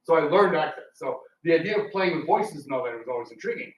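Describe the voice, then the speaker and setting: Man with a higher voice, echoey room. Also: animated, fast talker. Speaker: radio theatre performer on a discussion panel. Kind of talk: casual live panel talk. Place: indoor room, public talk at a library. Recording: roomy, distant mic with background noise.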